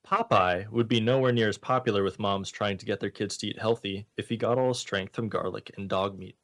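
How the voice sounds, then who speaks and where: A man's voice: lowish voice, fairly even intonation, a little fast. A man hosting a comedy radio show, reading out one-liner jokes and bantering casually.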